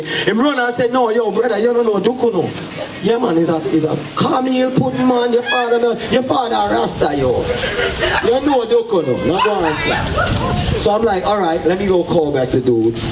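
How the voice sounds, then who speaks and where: Animated Jamaican man telling a story live. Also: high-pitched. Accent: Jamaican, mixed with New York. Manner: animated, switches into patois for quoted voices. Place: live event stage. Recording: roomy venue sound from far off.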